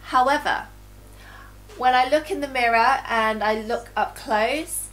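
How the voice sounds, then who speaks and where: Lady, higher voice, bit nasal from a cold, chatting through a makeup demo. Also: a little slow, a bit bright, poor audio. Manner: chatty, talks while applying makeup. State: nasal from recovering flu. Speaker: beauty vlogger. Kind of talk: casual product review and demo.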